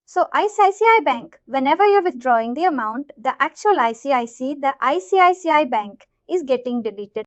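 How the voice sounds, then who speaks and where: High-pitched Indian woman teaching, dull audio. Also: animated, brisk, few pauses, no echo. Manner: repetitive, checks that listeners follow. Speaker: software instructor. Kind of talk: informal live tutorial explanation.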